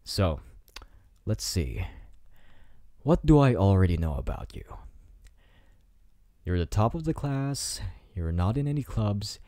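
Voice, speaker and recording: Low, slow-talking cocky bad boy, acted by a man. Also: big pitch swings, mellow, no echo, clean studio sound. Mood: teasing, playful. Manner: teasing, smug, drawn-out delivery. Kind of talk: intimate ASMR roleplay acting. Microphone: close microphone in a dry space.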